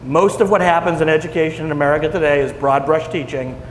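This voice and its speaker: A man's voice: on the high side, steady tone, fast talker. A man speaking bluntly and emphatically on a panel about a teaching program. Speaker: education program leader. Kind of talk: panel discussion. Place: conference hall. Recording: echoey hall with faint noise.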